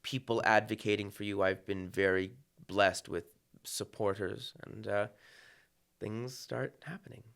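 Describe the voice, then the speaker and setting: A man's voice: fairly low pitch, fairly even intonation, unhurried, gravelly, fairly bright tone. A conductor being interviewed on a podcast, talking in a reflective way and often restarting his sentences. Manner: reflective, many restarts and fillers.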